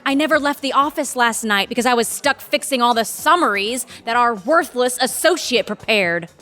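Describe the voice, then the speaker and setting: A woman's voice: fairly high pitch, animated, moderate pace, sharp tone. A woman playing an intense, bitter, ambitious lawyer and boss in an audio drama, sardonic and emphatic. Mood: defensive, accusing. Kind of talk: audio theatre acting.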